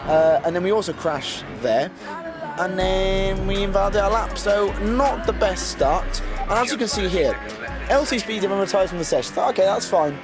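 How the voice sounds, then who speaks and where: Male gaming commentator, voice going hoarse, rambling self-deprecating delivery, music bed underneath. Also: high voice, moderate pace. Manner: self-mocking, rambling, many ums. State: losing his voice, getting ill. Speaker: racing game commentator. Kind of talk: casual commentary over race footage. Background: background music.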